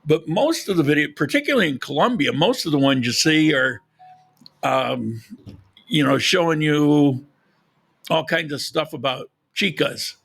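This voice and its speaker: A man's voice: on the high side, expressive, unhurried, gravelly, fairly bright tone, husky. A man hosting a live podcast, rambling casually with frequent restarts and losing his voice. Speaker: podcast host. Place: lives in Colombia.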